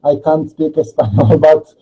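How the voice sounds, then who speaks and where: A man, not an English speaker, hosting a casual discussion in halting, deliberate phrases. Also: on the high side, soft background music. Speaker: discussion host. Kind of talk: casual moderated panel discussion. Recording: dull, lo-fi sound.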